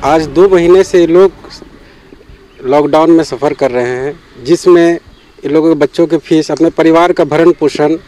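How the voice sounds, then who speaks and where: Mellow, slightly high-voiced man in interview. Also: fairly even intonation, moderate pace, poor audio. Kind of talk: interview statement.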